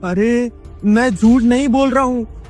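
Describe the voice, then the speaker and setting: A man's voice: very high voice, a little slow, a little mellow, soft background music. A man voicing a frightened young village man in a cartoon, pleading and insistent. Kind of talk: cartoon voice acting.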